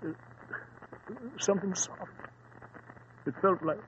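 An actor playing an earnest, aging sidekick to a detective in an old-time radio drama, blustery and hesitant. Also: male voice, sits high, steady tone, slow pace. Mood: uneasy, subdued. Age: older. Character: earnest, fussy, aging male detective's companion. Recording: muffled, noisy old radio recording.

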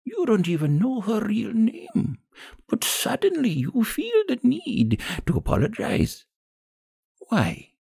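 A man voicing a vengeful old woman in an audiobook, gravelly, scornful and menacing. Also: sits high, wildly swooping pitch, slow pace, a little mellow, voice pushed up, heavily acted. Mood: cold scorn. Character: vengeful, sinister old woman, bitter and grieving. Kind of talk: audiobook character acting.

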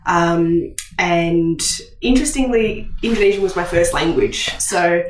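A woman's voice: on the low side, unhurried, distant mic, slight background noise. A woman with an Australian accent, a nutritionist guest chatting casually on a podcast, laughing easily and restarting sentences. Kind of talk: casual interview chat. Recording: roomy sound, voice off the mic.

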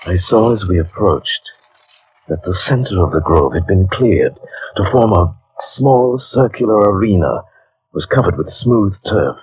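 Haunted adventurer narrating 1940s radio horror, real low voice, grave n intense, muffled old recording. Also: male voice, husky, heavily acted. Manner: measured, ornate, dramatic storytelling. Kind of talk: 1940s radio drama acting, first-person narration.

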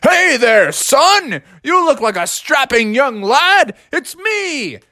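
A jolly, reckless sea captain in a children's tale, played by a storyteller. He exclaims heartily in salty slang, with the pitch lifted high and very animated. The recording is close and dry. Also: takes it slowly, no echo, heavily acted. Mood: jovial. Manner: hearty exclaiming, old-salt and skater slang.

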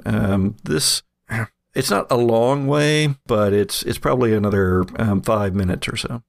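A man running a tabletop game, improvising narration with halting, drawn-out hesitations. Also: fairly low pitch, gravelly, dry recording, clean studio sound. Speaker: game master.

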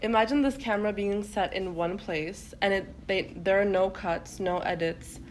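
A young woman from Turkey, a native Turkish speaker, talking casually as a podcast guest, often pausing and restarting her sentences. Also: mid-range voice, a little hiss or hum. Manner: hesitant, many fillers and self-restarts. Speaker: guest poet.

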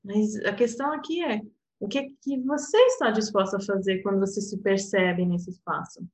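A woman's voice: medium pitch, normal speed, darkish tone. A woman from Portugal with a European Portuguese accent, an interpreter talking reflectively and personally, often laughing and adding "sabe?". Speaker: interpreter and guest. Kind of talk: reflective casual conversation.

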